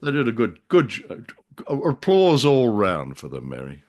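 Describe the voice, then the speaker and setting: A man co-hosting a casual discussion, speaking in a wordy, halting and wry way. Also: mid-range voice, wildly swooping pitch, a little mellow. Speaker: podcast co-host.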